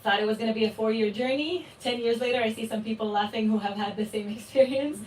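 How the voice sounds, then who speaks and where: A woman's voice: a little high, quick pace, darkish tone. A woman in her twenties who grew up in Palestine, moderating a video call in an enthusiastic, personal way. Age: 20s. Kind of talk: conversational interview. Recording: roomy, distant mic with faint noise.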